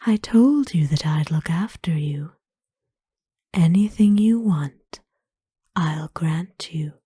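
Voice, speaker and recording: A woman playing a kind, courtly, centuries-old vampire in an ASMR roleplay, speaking tenderly and formally in a breathy whisper. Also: lowish voice, warm tone, dry recording, clean studio sound, exaggerated voice. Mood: alluring, reassuring. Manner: formal, slow, tender, hesitant pauses. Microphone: close dry microphone.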